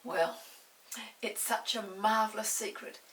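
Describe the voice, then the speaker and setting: A woman's voice: medium pitch, fairly even intonation, slow, a bit bright. A woman voicing an eager, earnest schoolboy with a secret in a children's storybook. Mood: earnest, secretive. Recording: hissy, distant room microphone.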